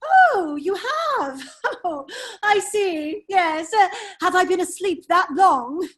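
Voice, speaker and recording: A woman's voice: very high voice, lively intonation, slow pace, fairly bright tone, exaggerated voice. A woman playing a foppish, teasing English nobleman who dithers and exclaims in an affected drawl, in a radio play table read.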